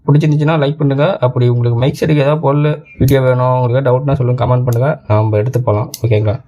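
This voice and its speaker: A man's voice: mid-range voice, fairly even intonation, very fast, warm tone. A man giving a casual, colloquial product review, explaining things repetitively to viewers as a tech channel host.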